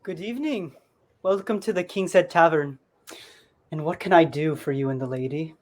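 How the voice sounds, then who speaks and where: A man's voice: a little high, normal speed, close to an everyday voice. A young man playing a polite, hospitable innkeeper in a 1930s radio play. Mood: courteous.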